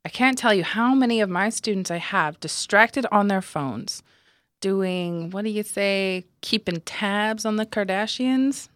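A woman voicing a kindly, teasing older male professor who speaks slowly and fondly to a former student. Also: mid-range voice, fairly bright tone, no echo, lightly acted.

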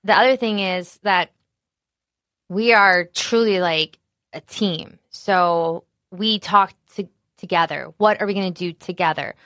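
A woman who is an executive chef, talking casually in an interview with a naturally loud voice and an animated, candid manner full of fillers. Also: lowish voice, measured pace, no echo.

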